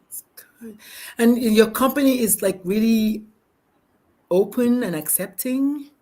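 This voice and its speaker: Excitable Nigerian woman hosting a chat. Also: fairly high pitch, takes it slowly. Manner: excitable, rambling, effusive. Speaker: host. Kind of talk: live interview chat.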